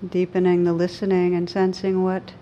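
A woman leading a guided meditation as a teacher, speaking gently and soothingly with long pauses between phrases. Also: completely flat delivery. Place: live group meditation gathering. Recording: muffled live recording with low rumble noise.

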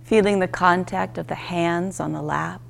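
A woman teaching a guided meditation, speaking in gentle, unhurried instruction with short, spaced phrases. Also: fairly low pitch, steady tone, gravelly.

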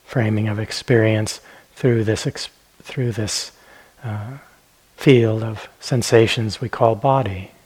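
A man teaching guided meditation in a gentle, unhurried manner, leaving long pauses between phrases. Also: fairly low pitch, fairly even intonation, slightly rough.

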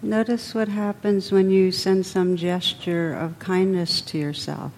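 A woman meditation teacher leading a guided meditation in a gentle, unhurried manner, pausing between phrases. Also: on the low side, steady tone, slight background noise.

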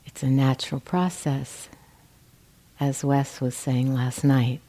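A woman with a low, mellow voice leads a guided meditation. She speaks very slowly, in gentle phrases separated by pauses, like a teacher giving measured instruction. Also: fairly even intonation.

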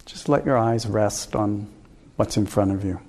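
Slow, mellow man guiding meditation. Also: lowish voice. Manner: calm, gentle, unhurried instructions with long pauses. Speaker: meditation teacher. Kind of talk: guided meditation instruction.

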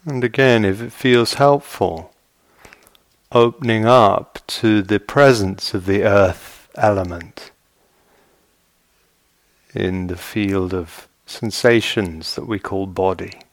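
A man guiding a meditation as a teacher, speaking gently and in measured short phrases with long pauses. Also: lowish voice, dry recording. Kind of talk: guided meditation. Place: meditation retreat hall.

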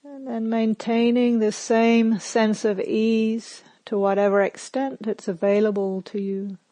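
A woman meditation teacher leading a guided meditation in a calm, gentle, measured manner with long pauses. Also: on the high side, no echo.

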